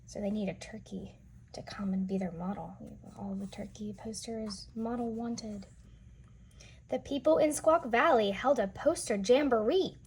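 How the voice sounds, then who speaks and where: A woman's voice: medium pitch, moderate pace, darkish tone, slight background noise. A woman reading a picture book aloud to young children, explaining and asking questions as she goes. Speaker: children's read-aloud storyteller.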